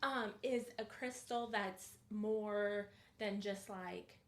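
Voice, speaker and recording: A woman's voice: mid-range voice, steady tone, slow pace, roomy sound. A woman from California, chatting easily as podcast co-host and agreeing along. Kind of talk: casual chat.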